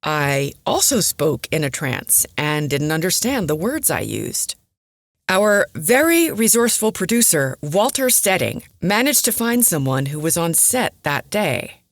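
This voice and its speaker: A woman playing an earnest radio investigator in an audio drama, narrating and interviewing in a measured, serious way. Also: low-pitched, sing-song, no echo, exaggerated voice.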